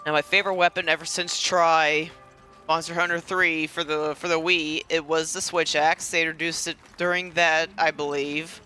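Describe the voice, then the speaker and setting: Bright-voiced, rambling gaming commentator. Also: medium pitch, level tone, moderate pace. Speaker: gaming content creator. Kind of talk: casual let's play commentary. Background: faint game music.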